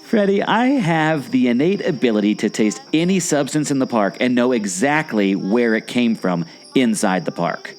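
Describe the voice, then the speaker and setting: A man playing an excitable, skeptical, wisecracking amateur explorer in a scripted audio drama, with a theatrical, jokey delivery. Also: mid-range voice, moderate pace, few pauses, close to an everyday voice. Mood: playfully boastful. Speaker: co-host of a theme park podcast.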